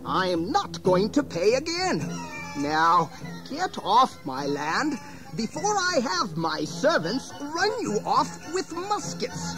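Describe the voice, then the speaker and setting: Angry colonial farmer, wildly swinging pitch. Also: male voice, huge pitch swings, slow pace, music in the background, exaggerated voice, rough recording. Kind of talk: radio drama acting.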